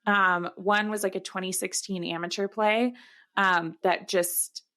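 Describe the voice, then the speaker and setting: A woman co-hosting a casual discussion podcast, often pausing and searching for her words as she explains. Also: mid-range voice, steady tone, bright, forceful tone. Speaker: podcast co-host. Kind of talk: casual book-adaptation discussion.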